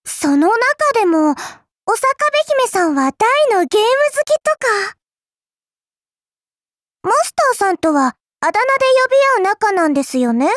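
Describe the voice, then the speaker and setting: Small cute spirit girl for an anime game: extremely high pitch, sly and teasing, polite but smug, dry studio sound. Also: very animated, normal speed, a bit bright, no echo, full character voice. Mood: chatty, polite. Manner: polite, coy, teasing, cutesy. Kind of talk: anime game character voice lines.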